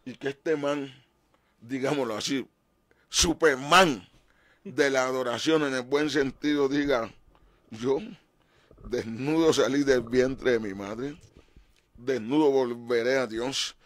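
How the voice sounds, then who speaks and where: A man's voice: mid-range voice, takes it slowly, pauses often, no room sound. Emphatic preaching man, dry studio. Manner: emphatic, gestures broadly, dramatic rises in voice. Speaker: pastor and show host. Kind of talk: animated preaching talk show. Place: small studio with painted backdrop. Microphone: desk mic on stand.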